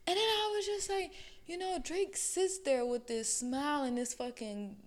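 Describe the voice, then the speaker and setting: A young woman co-hosting a casual chat, animated and laughing often as she mimics other people. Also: sits high, normal speed.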